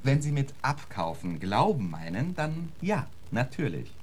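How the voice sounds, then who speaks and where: A man's voice: medium pitch, sing-song, a little slow, a little hiss or hum. A man playing a genteel, theatrical lecturer in a radio drama, protesting politely in flowery, mannered phrases. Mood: affronted, theatrical. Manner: flowery, mannered, eager to persuade. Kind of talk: German radio drama acting.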